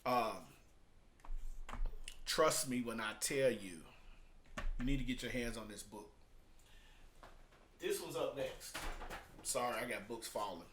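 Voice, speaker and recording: Guy doing a casual vlog review, bright forceful voice, emphatic, bit of background noise. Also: mid-range voice, slow pace, long pauses. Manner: emphatic, conversational, repeats phrases for emphasis. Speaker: product reviewer and online marketer.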